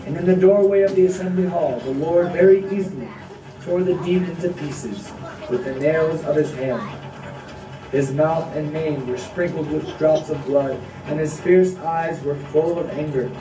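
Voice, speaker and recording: A narrator of unclear sex reads a scripted devotional story in measured phrases. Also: medium pitch. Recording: echoey, distant, music behind.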